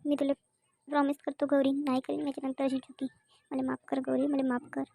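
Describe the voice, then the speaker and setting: A woman's voice: sits high, monotone, fairly quick, gravelly, dark tone, a little hiss or hum. A woman voicing a hot-headed, whiny son in an amateur cartoon comedy. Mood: pleading, remorseful. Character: hot-headed drunk son, sulky then pleading.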